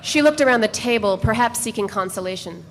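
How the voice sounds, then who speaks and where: A woman's voice: medium pitch, lively intonation, a little fast, over music. A woman narrating a literary novel in a scripted dramatic reading. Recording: reverberant hall with background noise.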